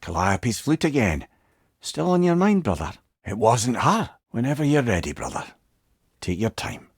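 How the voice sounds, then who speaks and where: A man's voice: medium pitch, big pitch swings, moderate pace, breathy edge, no room sound, clean studio sound. A man voicing a wise, wry old advisor in a video game, with a Scottish accent and an eloquent, thoughtful way of talking. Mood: gentle, concerned. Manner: eloquent, thoughtful, gently probing. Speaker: voice actor. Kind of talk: video game companion dialogue.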